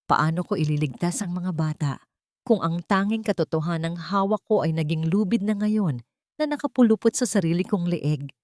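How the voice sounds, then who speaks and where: Woman as desperate, low-voiced Filipino housemaid heroine. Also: normal speed, a little mellow, dry recording, clean studio sound, close to an everyday voice. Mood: anguished. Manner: urgent, anguished.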